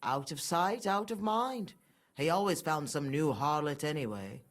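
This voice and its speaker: A woman's voice: low voice, animated, a little slow. An actress playing a middle-aged, sanctimonious and scornful mother, a pious murderess without remorse, in a dramatic video game scene. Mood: cold contempt. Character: pious, murderous mother, haughty, fanatical and unrepentant.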